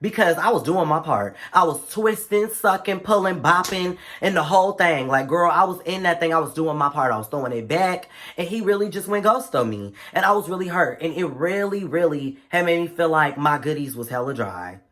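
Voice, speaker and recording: A young man with a stuffy, congested voice, telling a story in a casual vlog in an animated, slangy and playful way. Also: a little high, fairly quick, hardly any pauses. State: congested from a cold. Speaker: vlogger.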